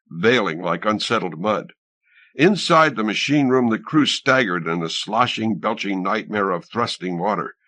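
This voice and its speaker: A man's voice: fairly low pitch, bright, forceful tone, dry recording. A man narrating fiction as an audiobook reader, in a dramatic scripted style.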